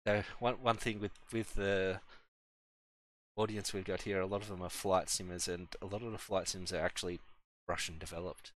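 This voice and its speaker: A man's voice: low-pitched, steady tone, normal speed, fairly bright tone. A man hosting a casual podcast, laid-back and often repeating words as he starts his sentences. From Australia. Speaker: podcast host.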